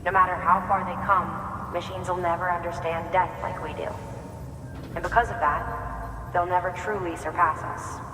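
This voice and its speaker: A man's voice: sits high, little pitch movement, normal speed, close to an everyday voice. An actor playing a polite male engineer and new father who explains his delivery bots at length, in a game cutscene. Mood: earnest. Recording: echoey hall with loud background noise.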